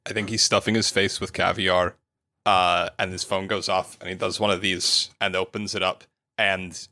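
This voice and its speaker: A man's voice: sits low, level tone, moderate pace, pushed and bright, dry recording. A man chatting casually as a player in an improvised tabletop roleplaying game.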